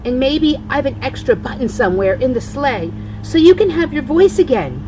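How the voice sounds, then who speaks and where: An older woman, a grandmother, reading a children's storybook aloud with expressive, deliberate phrasing. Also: sits high, over music, low-quality recording.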